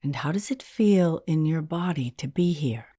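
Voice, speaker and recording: A woman leading a guided meditation as a hypnotherapist, in a soothing, gentle and unhurried manner. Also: low voice, expressive, slightly rough, no echo, clean studio sound.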